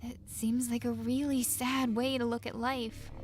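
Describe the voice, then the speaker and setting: A woman's voice: on the high side, little pitch movement, unhurried, soft background music, lightly acted. A woman playing an earnest, hopeful, caring young woman with an emotional delivery in a horror visual novel. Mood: gentle, hopeful.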